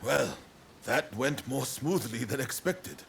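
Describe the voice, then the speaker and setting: A man acting a composed, pragmatic military leader in a game cutscene, matter-of-fact and controlled, over background rumble. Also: animated, takes it slowly, gravelly, fairly bright tone, full character voice. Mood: calm, satisfied.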